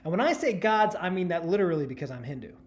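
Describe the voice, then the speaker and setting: A man in his thirties from New Jersey, telling stand-up stories with a dry delivery and deadpan punchlines. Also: sits high, quick pace. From New Jersey, USA. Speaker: stand-up comedian and journalist. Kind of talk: stand-up storytelling.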